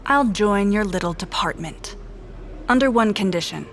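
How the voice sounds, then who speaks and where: A woman playing a guarded, sharp-tongued, defiant special agent in an audio drama. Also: mid-range voice, expressive, moderate pace, slight background noise. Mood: guarded, dry. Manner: cutting, defiant.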